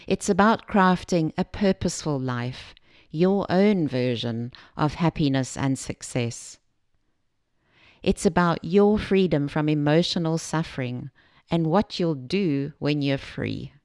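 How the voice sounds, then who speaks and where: Low-voiced woman, close and dry. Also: animated, takes it slowly, darkish tone, dry recording. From Zambia. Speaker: podcast host and coach. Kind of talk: scripted solo self-help narration.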